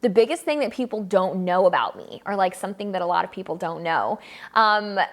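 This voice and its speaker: Chatty young woman with a bright laugh. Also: medium pitch, animated, a little fast. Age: 20s. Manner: chatty, upbeat, laughs often, many ums and likes. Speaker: photographer and vlogger. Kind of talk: casual birthday Q&A vlog. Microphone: camera mic, filmed by a friend.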